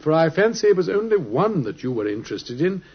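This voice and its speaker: A man playing a shrewd gentleman detective in an old-time radio drama, with a British accent and a polite, brisk, knowing manner. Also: fairly high pitch, very animated, husky, heavily acted. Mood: suavely probing. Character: shrewd, urbane gentleman detective, quietly commanding. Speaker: actor in a radio play. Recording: muffled, hissy vintage radio recording.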